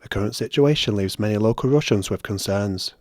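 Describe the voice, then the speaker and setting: A man's voice: lowish voice, fairly quick, a little mellow, dry recording. A man narrating a news report as a voice-over.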